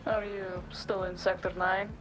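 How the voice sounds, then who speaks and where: An actress playing the brisk, teasing and familiar ally of an investigator in a cyberpunk audio drama. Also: female voice, mid-range voice, flat delivery, natural voice, low-quality recording. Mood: brisk, cautioning. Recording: music behind the voice.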